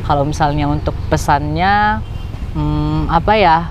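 A man's voice: on the high side, unhurried, dull audio, a little hiss or hum. A young man with a Medan accent, a student guest answering eagerly and laughing often in a casual outdoor chat. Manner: eager, laughs often, says 'Kak' a lot. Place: outdoor campus garden.

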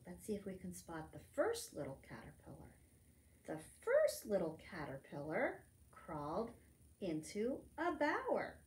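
A middle-aged woman reads a picture book aloud to preschoolers in an expressive, teacherly manner, adding simple asides. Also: fairly low pitch, measured pace. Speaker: librarian storytime reader. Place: library room among bookshelves, indoors. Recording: roomy indoor echo.

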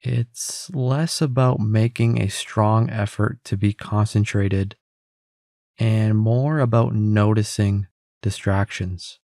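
A man leading a guided meditation in a calm, gentle, unhurried way, giving simple instructions with pauses. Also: on the low side, no echo, studio quality. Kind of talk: guided meditation, scripted narration.

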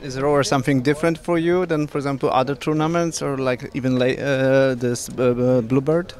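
A man asking questions as a sports interviewer, in halting, non-native English. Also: medium pitch, fairly even intonation, a little mellow. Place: large indoor lobby. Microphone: handheld reporter microphone.

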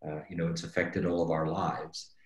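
A man's voice: deep voice, level tone, normal speed. A middle-aged man presenting conversationally on a webinar, explaining things and often checking in with "right?". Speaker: company executive presenting a webinar. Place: indoors on a video call. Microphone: video call microphone. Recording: roomy, echoing video-call audio.

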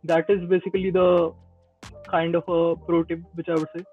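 High-voiced young Indian man, tinny call. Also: level tone, normal speed. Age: 20s. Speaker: podcast guest, Android developer. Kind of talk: casual advice in a video-call interview. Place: small indoor room. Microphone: earbuds on a video call. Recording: tinny phone-like call audio, faint music.

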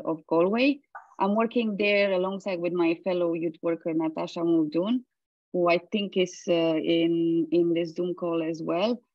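Low-voiced woman on a dry video call. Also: darkish tone, no room sound, dull audio. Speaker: global youth worker, panelist. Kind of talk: panel answer on video call.

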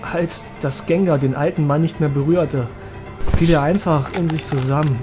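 A man's voice: a little high, steady tone, moderate pace, over music, close to an everyday voice. A man playing a haunted, desperate young monster trainer, telling his story in a horror audio drama. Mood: haunted dread. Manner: dramatic, anguished. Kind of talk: first-person horror audio drama narration.

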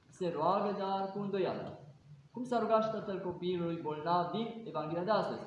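High-voiced Romanian priest preaching in echoing church. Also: male voice, slight background noise. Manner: exhorting, rhetorical questions, earnest preaching cadence. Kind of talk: sermon. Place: large ornate church, indoors. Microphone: no mic, camera a few steps away. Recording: very echoey church, dull sound.

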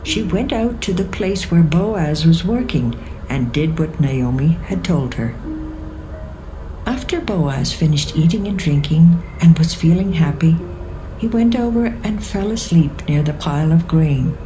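Female narrator, scripted Bible storytelling, slow delivery, lowish pitch, music bed. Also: animated, dark tone, slight background noise, low-quality recording. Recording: music behind the voice.

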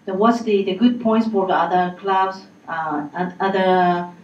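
A woman's voice: on the low side, steady tone, measured pace. A woman answering in a group discussion, hesitant and full of fillers. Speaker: workshop participant. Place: large meeting room. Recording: dull, echoey, from far off.

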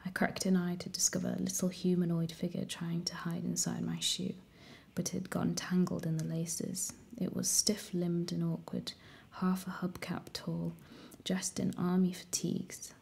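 A woman reading a story aloud in a soft, steady whisper. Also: lowish voice, moderate pace, creaky, breathy edge. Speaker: audiobook reader.

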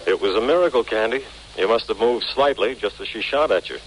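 An actor playing a steady, affable city police detective, clipped and plain-spoken, in a 1940s radio drama. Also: male voice, lowish voice, brisk, over loud music, rough recording. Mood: matter-of-fact. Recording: hissy old 1940s radio recording.

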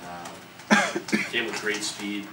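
Man, college hockey coach, low steady voice, earnest with stammered restarts, echoey press room, far from the mic, loud background noise. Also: extremely slow. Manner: earnest, repeats words when starting sentences. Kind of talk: postgame press conference. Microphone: table mic from the camera's distance.

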